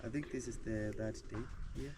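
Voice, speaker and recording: A Kenyan man chatting as a guest on a village vlog, relaxed and lively. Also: on the low side, moderate pace. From Nairobi, Kenya. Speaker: guest, sneaker artist. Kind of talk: casual conversation. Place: village outdoors. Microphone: action camera, no mic. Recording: distant voice over loud outdoor noise.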